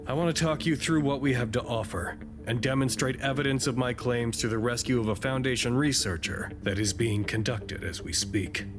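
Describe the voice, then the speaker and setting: A man acting as a composed negotiator in an audio drama speaks formally and deliberately in a mid-range male voice. Music plays clearly underneath his measured address. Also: medium pitch, close to an everyday voice. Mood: composed, resolute.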